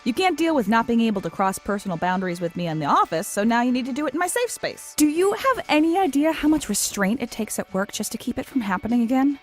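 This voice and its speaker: High-voiced, exasperated office striver. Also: female voice, sing-song, fast talker, few pauses, faint music behind. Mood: fed up. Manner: emphatic, exasperated. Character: striving, exasperated, confident female ad agency worker. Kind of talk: audio sitcom acting.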